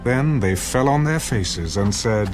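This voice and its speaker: A man reads scripture narration as the storyteller of an audio drama. His voice sits in a middle register. Music plays clearly behind him. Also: medium pitch, expressive, measured pace.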